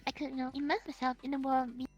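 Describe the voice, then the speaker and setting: Excitable high-voiced Singaporean streamer. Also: racing, muffled recording. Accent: Singaporean English. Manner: excitable, giggly, rambling storyteller. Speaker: guest streamer. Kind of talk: casual interview chat.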